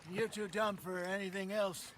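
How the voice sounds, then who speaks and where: A man's voice: sits high, little pitch movement, normal speed, lightly acted. An actor playing a wry older male outlaw in a western game, a folksy, teasing fisherman and storyteller. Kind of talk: cinematic western game acting. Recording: clear outdoor ambience noise behind.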